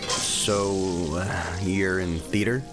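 A deferential young soldier in a sci-fi audio drama. His voice is deep and slow, hesitant and flat as he placates, with music clearly behind him. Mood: uneasy, placating.

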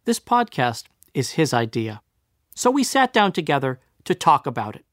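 A middle-aged man hosting a podcast, earnest and lively in his scripted narration. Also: fairly high pitch, normal speed, no room sound, clean studio sound. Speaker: podcast host.

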